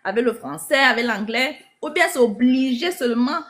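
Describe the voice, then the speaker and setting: A woman's voice: high voice, moderate pace, a bit bright. A woman asking pointed questions in a casual conversation, listing options as she goes. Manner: inquisitive, lists options while asking.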